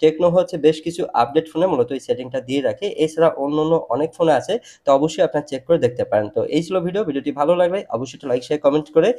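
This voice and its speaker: A man's voice: on the high side, rapid-fire, dark tone. A man narrating a step-by-step phone tutorial, instructing and often addressing his viewers directly. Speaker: tech tutorial presenter.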